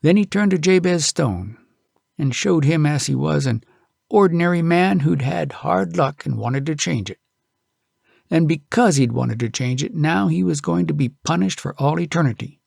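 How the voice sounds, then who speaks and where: Man, audiobook narrator, folksy dramatic storytelling, fairly high voice, lively swooping intonation, close dry sound. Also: moderate pace, creaky, no room sound. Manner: folksy, expressive storyteller delivery.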